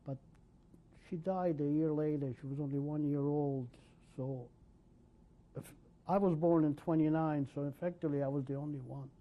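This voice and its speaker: Older guy from poland, 60s, halting answers w lots of uhs, muffled old tape sound. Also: fairly high pitch, steady tone. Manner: hesitant, many ums and restarts. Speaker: interviewee. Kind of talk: oral history interview. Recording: dull lo-fi 1990s tape.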